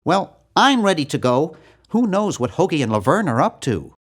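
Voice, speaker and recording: A man voicing a nerdy, earnest and anxious young hero in a video game, with a fussy, animated delivery. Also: on the high side, moderate pace. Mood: matter-of-fact, fussy. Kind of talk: video game character voice lines.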